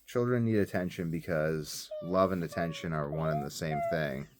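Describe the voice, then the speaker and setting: Very low-voiced man, casual video diary. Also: moderate pace, noisy background. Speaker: self-experiment health vlogger and parent. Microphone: phone set up close by.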